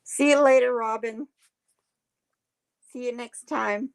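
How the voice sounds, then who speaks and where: A woman, hosting a casual livestream and chatting with viewers in a folksy way, her voice getting hoarse. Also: on the high side, steady tone, takes it slowly, low-quality recording. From Texas. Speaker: livestream host. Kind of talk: casual chat reading viewer comments.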